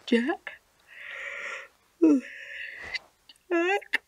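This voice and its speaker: An actress playing a distressed, suspicious wife in a radio drama, agitated and accusing, often dropping to a hushed mutter. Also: female voice, very high-pitched, lively intonation, drawn-out words, no room sound, exaggerated voice. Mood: hushed, anxious. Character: distressed, suspicious wife convinced her husband is an impostor.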